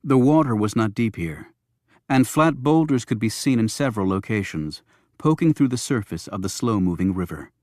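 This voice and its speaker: A man's voice: fairly low pitch, expressive, normal speed, darkish tone, no room sound, clean studio sound. A man narrating a thriller audiobook from a script.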